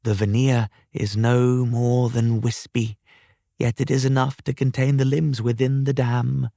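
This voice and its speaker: A man voicing a calm, guiding female spirit in a storybook reading, serene, formal and cryptic. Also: medium pitch, unhurried, creaky, dry recording, studio quality. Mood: instructing. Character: calm, guiding ghost of Scrooge's sister.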